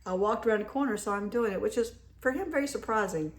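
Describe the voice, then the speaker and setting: A middle-aged woman, hoarse from a head cold, chatting casually and rambling with many restarts while showing off a shopping haul. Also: medium pitch, fast talker, background noise. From North Carolina. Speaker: haul vlogger. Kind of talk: casual show-and-tell chat.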